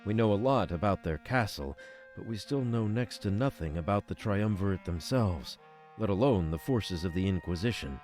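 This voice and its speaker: Man voicing a deep, solemn rebel spy. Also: animated, moderate pace, few pauses, a little mellow, soft background music, close to an everyday voice. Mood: resolute. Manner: solemn, determined. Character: devoted, stoic male rebel spy reading his journal. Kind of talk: scripted visual novel monologue.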